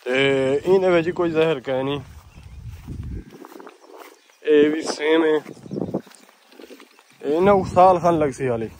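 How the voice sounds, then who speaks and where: A man's voice: on the high side, slow pace, long pauses, a little mellow, a little hiss or hum. Young Saraiki-accented man vlogging outdoors. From Pakistan. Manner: chatty, informal, speaking straight to the camera. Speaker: vlogger host. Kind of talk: casual village vlog, explaining date palm pollination. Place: outdoors in a village farm among date palms.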